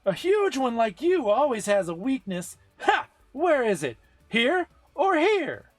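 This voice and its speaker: A man voicing a brash, hot-tempered young woman fighter from a game story, with shouted, taunting, overdramatic delivery. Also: very high-pitched, wildly swooping pitch, slow, dry recording, faint music behind, pitched above the performer's own voice, heavily acted. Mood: cocky, taunting.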